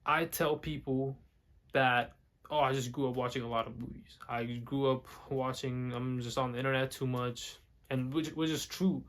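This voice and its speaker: A man's voice: mid-range voice, steady tone, normal speed, fairly bright tone. Young guy vlogging, english not his first language, keeps restarting sentences, mid voice. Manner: rambling, repeats words and restarts a lot. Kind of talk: casual talk to camera.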